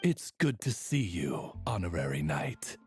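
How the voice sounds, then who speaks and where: Deepish, formal attendant raven. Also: male voice, big pitch swings, measured pace. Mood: courteous. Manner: formal, butler-like politeness. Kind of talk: video game voice acting. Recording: music behind the voice.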